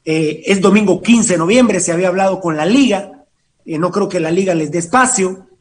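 A man's voice: high voice, a little fast. A man with a Guatemalan accent hosting an informal sports talk show in an irreverent, slangy, profane manner. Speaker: host. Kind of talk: informal radio-style sports talk with co-hosts.

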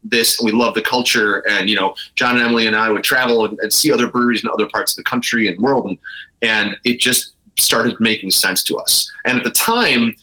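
A man's voice: on the low side, fairly even intonation, a little fast, bright, forceful tone, poor audio. A man speaking as an interview guest, telling the story of his business in a casual, conversational way. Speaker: interview guest, brewery co-founder.

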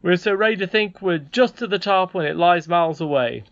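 A storyteller voicing a weary, kindly aging miner, a father who speaks gently and reflectively. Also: medium pitch, a little fast, highs cut off, pitched above the performer's own voice, close to an everyday voice. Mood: gentle, musing.

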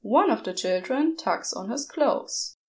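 Woman reading a story slow and steady, kinda high voice. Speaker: podfic narrator. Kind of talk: scripted story narration.

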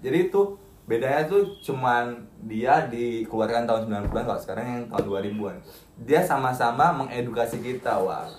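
A man's voice: medium pitch, a little fast, echoey room, a little hiss or hum. A man co-hosting a podcast in playful, teasing casual chat. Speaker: podcast co-host.

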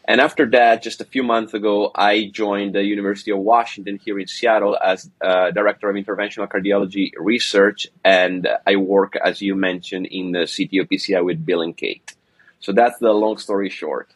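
A man in his forties from Italy, speaking as a cardiologist guest, measured with frequent hesitations. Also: on the low side, level tone, no echo. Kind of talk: conversational interview.

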